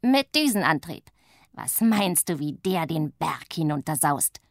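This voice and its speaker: A woman voicing a loud, bossy and mischievous witch who talks in a gruff, scolding way, with a breathy voice. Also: medium pitch, very animated, normal speed, no room sound, clean studio sound. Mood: rowdy.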